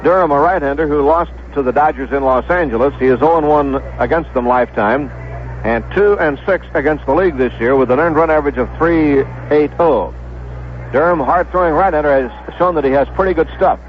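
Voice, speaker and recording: A man with an American accent and a slightly high voice announces baseball in a measured 1970s radio style. He repeats names so they are clear. The broadcast sounds dull and lo-fi. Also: slight background noise. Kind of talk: 1970s radio sports commentary, pregame lineups. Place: stadium broadcast booth.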